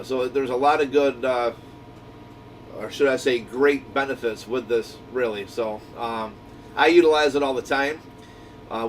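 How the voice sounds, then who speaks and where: A man's voice: mid-range voice, far from the mic, slight background noise. A man hosting a radio group in a folksy, easygoing way, explaining things in casual instructional chat. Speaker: radio shop owner hosting a walkie-talkie group channel.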